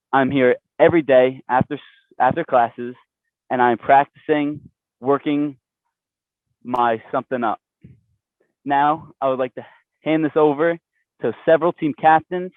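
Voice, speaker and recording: A man's voice: medium pitch, no room sound. A young man in his late teens walks viewers through the space like a tour guide, pointing things out as he goes. The sound is thin and phone-like, and it stays dry and close. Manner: presenting, guiding viewers. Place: indoor basketball court.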